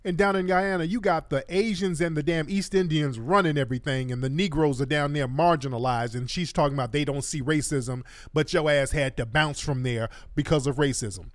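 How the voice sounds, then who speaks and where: A man's voice: on the high side, brisk, few pauses, no echo. Emphatic colloquial male talk show host. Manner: emphatic, colloquial, repeats points for stress. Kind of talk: opinionated live commentary to an audience.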